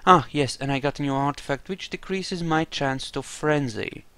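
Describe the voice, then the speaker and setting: A man giving casual role-playing game commentary, haltingly thinking aloud. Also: on the high side, a bit bright, clean tone, no room sound. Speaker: gameplay narrator.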